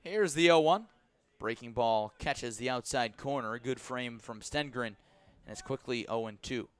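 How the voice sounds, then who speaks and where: A man calling baseball live on radio from a press box as play-by-play announcer, with a measured delivery that lifts on each pitch. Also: clean tone. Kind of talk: live radio sports commentary.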